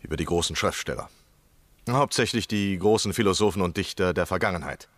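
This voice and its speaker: An actor playing a courteous, eager gentleman scholar in a radio drama, speaking in a measured, ingratiating way. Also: low voice, no room sound. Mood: earnest.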